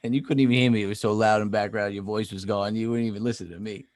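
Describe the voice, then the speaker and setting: A man's voice: fairly low pitch, fairly even intonation, racing, darkish tone. A man co-hosting a podcast in casual chat, easygoing and teasing in his banter. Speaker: podcast co-host.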